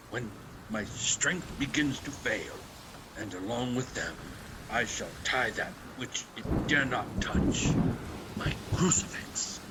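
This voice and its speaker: A man's voice: mid-range voice, natural voice. A man playing a grim, dutiful and frightened sea captain in a radio play, narrating in terse phrases. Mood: despairing, resolute. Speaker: actor. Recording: faint background noise.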